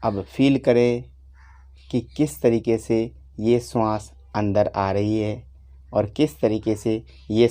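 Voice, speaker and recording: A man teaches guided meditation in a lowish, mellow voice. He speaks very slowly, laying out each instruction in short phrases and leaving long pauses between them.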